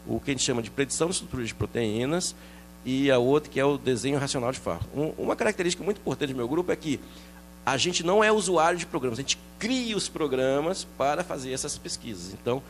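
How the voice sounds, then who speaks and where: A middle-aged man from France, a researcher explaining his work in a TV interview, quick and animated, with many restarts and fillers. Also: mid-range voice, a little hiss or hum. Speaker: researcher guest.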